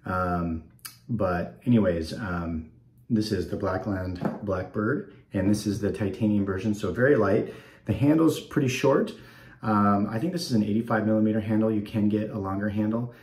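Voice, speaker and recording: A man's voice: on the low side, normal speed, darkish tone, a little hiss or hum. Stuffy-nosed man chatting in bathroom. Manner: rambling, many ums, easygoing. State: slightly stuffed up. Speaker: wet shaving channel host. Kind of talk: casual talk to camera. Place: small bathroom at home, indoors. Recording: echoey bathroom.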